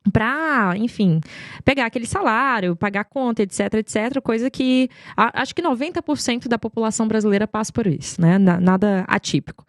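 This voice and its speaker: A woman's voice: a little high, fairly quick, dry recording, clean studio sound. A woman tells stories as an interview guest, talkative and emphatic, often posing rhetorical questions. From Goiânia, Brazil. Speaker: podcast guest, marketing executive. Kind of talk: conversational interview storytelling.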